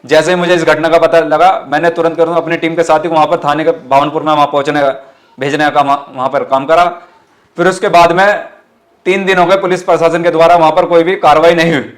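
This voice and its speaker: A man's voice: fairly high pitch, flat delivery, fast. A man with a western Uttar Pradesh accent answering interview questions as a party activist, earnest and emphatic, often repeating his phrases. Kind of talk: interview answers with political rhetoric.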